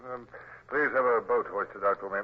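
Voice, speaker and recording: Actor as stern British naval commodore, dull old recording. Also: male voice, fairly low pitch, moderate pace, slight background noise, lightly acted. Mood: measured. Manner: formal, clipped, authoritative. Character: stern, commanding British naval commodore, narrating his own tale. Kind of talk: radio drama acting.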